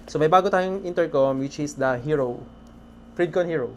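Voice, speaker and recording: A man with a Filipino accent vlogging casually about his day, speaking in an excitable, appreciative way. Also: on the high side, normal speed. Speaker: motorcycle vlogger. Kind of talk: casual vlog talk to camera. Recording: noisy background ambience.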